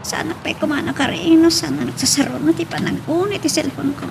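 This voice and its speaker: A woman as a very high, creaky lovesick daughter. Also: steady tone, a little fast, loud music behind the voice. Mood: longing. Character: lovesick, anxious grown daughter. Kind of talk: radio drama acting.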